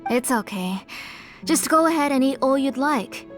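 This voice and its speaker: A woman playing a gentle, caring mother with quiet sorrow in a video game, soft-spoken and nurturing. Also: high voice, animated, normal speed, faint music behind.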